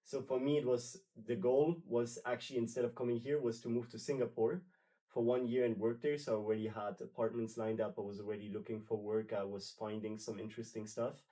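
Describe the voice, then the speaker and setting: A man's voice: mid-range voice, steady tone, fairly quick, warm tone. Man speaking from a distance, rambling. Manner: rambling, self-correcting storyteller. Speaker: trainee. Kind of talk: casual roundtable chat.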